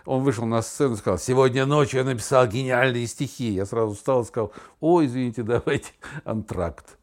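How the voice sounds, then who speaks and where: A middle-aged man talks casually in a mid-range voice, recounting stories from experience. His delivery is opinionated and blunt, as in a relaxed podcast conversation. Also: mid-range voice, a little fast. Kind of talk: casual conversation, telling anecdotes.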